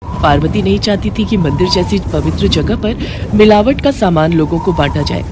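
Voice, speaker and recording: A woman's voice: lowish voice, normal speed, background noise. A woman reading a scripted moral story as its narrator. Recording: music bed behind the voice.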